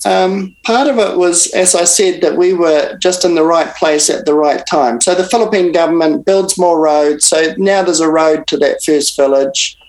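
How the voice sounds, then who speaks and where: An older man, a Bible translator, speaking as an interview guest and recounting events in an unhurried way with frequent restarts and pauses. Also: on the high side, darkish tone, poor audio. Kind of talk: reflective interview storytelling.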